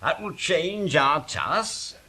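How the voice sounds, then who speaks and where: A man playing a gruff, scheming leader of an invading army who speaks in a sly, teasing, knowing way. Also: on the high side, sing-song, slow, bright, forceful tone, full character voice.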